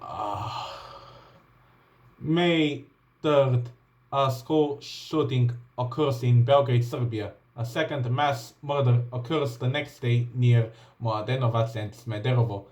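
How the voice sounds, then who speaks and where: A man's voice: medium pitch, takes it slowly, a little mellow. A man with a Bulgarian accent, English not his first language, reading a list of events from a laptop with frequent ums and uhs. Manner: hesitant, many ums and uhs, restarts while reading. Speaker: vlogger.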